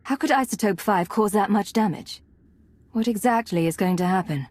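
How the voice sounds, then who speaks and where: An actress playing a cool Imperial agent in a video game, controlled and authoritative. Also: female voice, mid-range voice, moderate pace, darkish tone, no echo, close to an everyday voice. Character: cool, commanding female Imperial secret agent. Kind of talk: video game dialogue acting.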